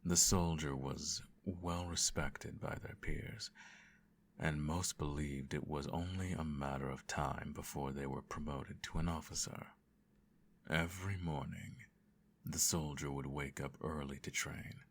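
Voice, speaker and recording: Evil emperor father , male actor, extremely low gravelly voice, formal and condescending, quietly menacing bedtime ASMR roleplay. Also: fairly even intonation, measured pace, heavily acted. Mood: solemn storytelling. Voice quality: very deep, creaky.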